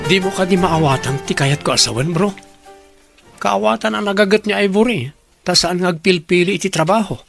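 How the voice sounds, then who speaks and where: A man's voice: sits high, brisk, gravelly, soft background music. A man with a Filipino accent playing a businesslike, authoritative company department head in a radio drama. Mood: earnest, insistent. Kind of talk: Ilocano radio drama acting.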